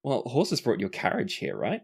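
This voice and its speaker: A man's voice: medium pitch, wildly swooping pitch, normal speed, creaky, slightly rough. A man narrating a tabletop role-playing game as game master.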